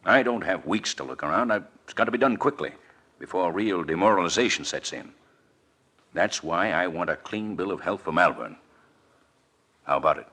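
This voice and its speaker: Deep, insistent city official. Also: male voice, lively intonation, moderate pace, pushed and bright, breathy edge, exaggerated voice. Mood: urgent, insistent. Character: earnest, insistent male city official under pressure. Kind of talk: 1940s radio acting.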